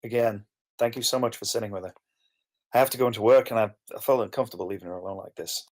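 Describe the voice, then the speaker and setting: A man's voice: fairly low pitch, fast talker, a little mellow, lightly acted. A man playing a drained, concerned carer in a plain, conversational screenplay table read. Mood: apologetic, uneasy. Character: Kai, drained, concerned man caring for a grieving friend.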